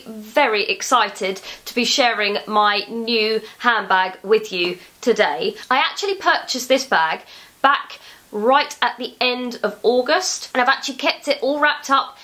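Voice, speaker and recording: Bright-voiced woman with a cold. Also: fairly high pitch. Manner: gushing, enthusiastic, repeats words for emphasis. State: has a cold. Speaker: handbag vlogger. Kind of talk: casual unboxing vlog.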